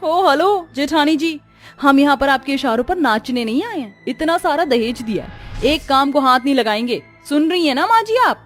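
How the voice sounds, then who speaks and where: A woman's voice: high voice, animated, moderate pace. A woman voicing haughty young rich daughters-in-law in a cartoon, snobbish and sassy. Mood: defiant. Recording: music under the voice.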